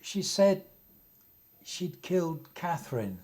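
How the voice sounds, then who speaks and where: A man's voice: a little high, very animated, slow pace, darkish tone. A man playing an earnest, troubled young family friend in a radio play, grave and hesitant. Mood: troubled, reluctant.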